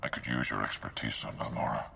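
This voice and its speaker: An actor playing a commanding, idealistic Jedi master with a deep, gravelly voice in a video game. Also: male voice, steady tone, quick pace, bright, forceful tone, big-room sound, a little hiss or hum, exaggerated voice. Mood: determined. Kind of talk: video game cutscene acting.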